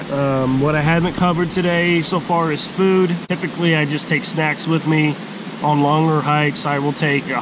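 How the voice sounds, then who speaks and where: A middle-aged man giving a casual, plain-spoken outdoor gear walkthrough in a forest by a stream, speaking slowly with halting pauses. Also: on the high side. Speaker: hiking vlogger. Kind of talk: casual gear show-and-tell. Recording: steady outdoor background hiss.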